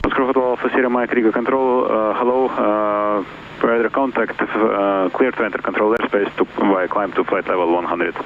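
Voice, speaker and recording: A man's voice: on the low side, fairly even intonation, normal speed. A man working as an air traffic controller, giving procedural instructions over aviation radio. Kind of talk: clipped procedural radio phraseology. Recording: tinny noisy aviation radio.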